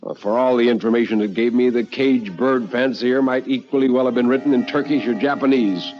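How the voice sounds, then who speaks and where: An actor playing an elderly, anxious but philosophical man, narrating in a deliberate, literary manner for radio drama. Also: medium pitch, lightly acted. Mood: agitated, theatrical. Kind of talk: old-time radio dramatic reading. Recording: muffled vintage radio recording with music.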